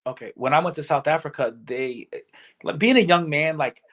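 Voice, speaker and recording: A man's voice: on the high side, moderate pace. A man from Virginia in his forties, a podcast guest telling travel stories in casual talk and acting out what people said. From Virginia, USA. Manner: storytelling, animated, acts out quotes. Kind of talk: casual conversation.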